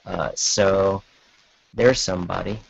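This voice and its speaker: A man chatting casually as a podcast guest, halting and self-deprecating, over an online call. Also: deep voice, steady tone, creaky, husky, no echo. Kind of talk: casual chat. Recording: dull lo-fi online call audio.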